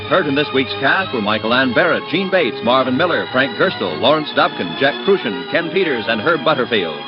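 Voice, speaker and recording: A man's voice: mid-range voice, very animated, fairly quick. An American man announcing a scripted radio programme in a 1950s broadcast style. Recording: dull vintage radio recording, music behind.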